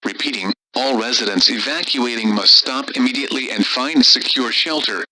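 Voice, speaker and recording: Piercingly bright male emergency announcer. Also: medium pitch, normal speed, dry recording, poor audio. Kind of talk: scripted emergency update.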